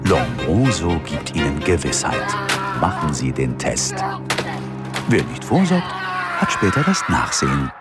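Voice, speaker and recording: Very deep male advert announcer. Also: big pitch swings, unhurried, over loud music, heavily acted. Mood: slick, persuasive. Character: slick male advert announcer selling a test. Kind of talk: advertisement voice-over in a radio drama.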